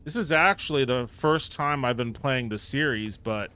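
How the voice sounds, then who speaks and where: An upbeat male streamer at a middle pitch, talking casually while he plays. He greets and thanks viewers by name and swears casually. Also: moderate pace, sharp tone, clean tone. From Japan. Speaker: livestreamer. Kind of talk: casual live-stream gameplay chat.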